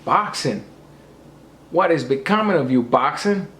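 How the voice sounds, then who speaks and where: An emphatic man with a hoarse, slightly high voice lectures his viewers and hammers each point home. His voice bounces around an echoey room. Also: expressive, unhurried, a bit bright. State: losing his voice. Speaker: boxing commentator. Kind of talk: opinionated rant to camera.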